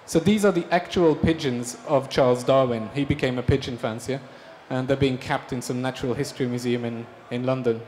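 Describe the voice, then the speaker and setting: A man from Belgium giving a conference talk about his design projects, relaxed and hesitant, with frequent ums. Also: mid-range voice, fairly quick. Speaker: speaker presenting design projects. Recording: reverberant hall with faint noise.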